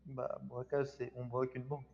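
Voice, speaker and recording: A man's voice: mid-range voice, completely flat delivery, a little fast, gravelly, darkish tone. A man in casual online police role-play, hesitant and muttering as he works things out. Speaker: player acting as a police sergeant. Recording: reverberant, slightly dull gaming voice chat.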